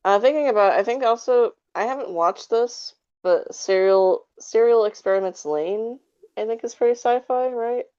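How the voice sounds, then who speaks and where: A woman's voice: a little high, normal speed, a little mellow, smooth, no echo. A woman chatting casually in a podcast discussion, speaking in a tentative, hedging way. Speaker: podcast panelist. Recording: slightly dull, lo-fi audio.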